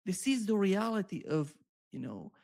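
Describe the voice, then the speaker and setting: Higher-pitched, very creaky man commenting. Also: very animated, measured pace, a little mellow. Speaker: show host. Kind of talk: unscripted political commentary.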